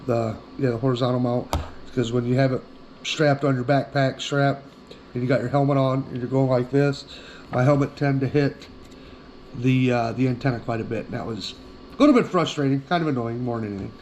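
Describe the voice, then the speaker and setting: A man's voice: mid-range voice, fairly even intonation, brisk, darkish tone, a little hiss or hum, low-quality recording. A middle-aged man giving a casual, plainspoken product demonstration as an outdoor gear reviewer, often repeating himself for emphasis.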